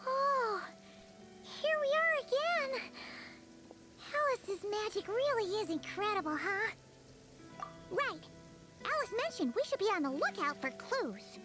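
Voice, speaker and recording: A woman's voice: very high voice, very animated, heavily acted. A woman voicing a tiny, chirpy female companion creature who eagerly chimes in with exclamations. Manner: bouncy, exclamatory, quick to chime in. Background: game soundtrack music.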